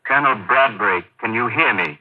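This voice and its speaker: An actor playing a grave, focused surgeon who gives clipped orders in a 1950s radio drama. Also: male voice, mid-range voice, expressive, a little fast, lightly acted. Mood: calm concern. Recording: muffled, tinny old radio broadcast.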